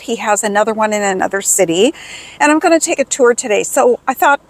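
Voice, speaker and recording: A middle-aged woman who works as a nurse talks through what she knows in a friendly, explanatory way. Her voice sits a touch higher than most women's. She asks practical, down-to-earth questions. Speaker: nurse host. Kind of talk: informative vlog narration and tour questions.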